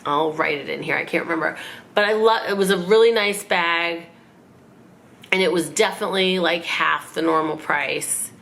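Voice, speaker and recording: A woman in her forties, raspy from a cold, chatting and rambling in a casual haul vlog at home. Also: on the low side, moderate pace, a bit bright, a little hiss or hum. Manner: chatty, rambling, self-mocking. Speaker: fashion vlogger. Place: living room at home.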